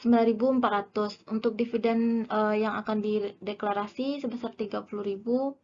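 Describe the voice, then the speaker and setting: A young woman gives an explanatory class presentation as a student, haltingly reading out figures. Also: mid-range voice, fairly even intonation, a little mellow. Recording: dull lo-fi audio, clear background noise.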